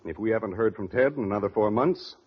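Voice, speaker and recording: Deep-voiced, patient radio-drama lawyer. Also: male voice, fast talker, breathy edge. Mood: patient, insistent. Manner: patient, reasoning. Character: measured, persistent family lawyer, a man. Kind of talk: 1940s radio drama acting. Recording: dull vintage radio recording.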